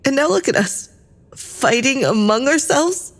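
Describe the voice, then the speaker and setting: Earnest, weary crew member in a sci-fi audio drama. Higher pitch, unhurried, emotional delivery. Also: female voice, expressive. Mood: grave. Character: anxious young woman crew member, defiant, weary.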